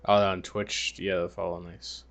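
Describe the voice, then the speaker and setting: Slow-paced man chatting casually on a livestream. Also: low-pitched, little pitch movement, creaky, fairly bright tone, faint music behind. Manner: slangy, laid-back banter with chat. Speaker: livestream host. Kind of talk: casual livestream chat while gaming.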